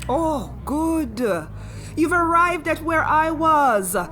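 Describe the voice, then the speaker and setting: Slow, commanding woman pirate captain. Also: high voice, lively intonation, pushed and bright, faint music behind, full character voice. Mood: sardonic, menacing. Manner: curt, drawling, mock-polite threats. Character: commanding, sardonic female pirate captain owed a debt. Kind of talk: fantasy audio drama acting.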